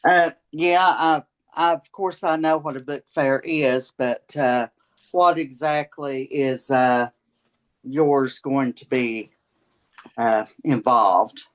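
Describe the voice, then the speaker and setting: A man co-hosting an interview, asking questions in a casual, halting way. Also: mid-range voice, fairly even intonation, fairly bright tone.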